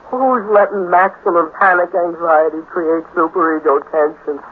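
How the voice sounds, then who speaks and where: A man's voice: a little high, steady tone, normal speed, narrow, tinny audio, natural voice. An actor playing a timid, plaintive teenage boy sidekick in a 1950s radio drama. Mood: frightened. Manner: fretful, plaintive, naive. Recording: thin, noisy 1950s radio recording.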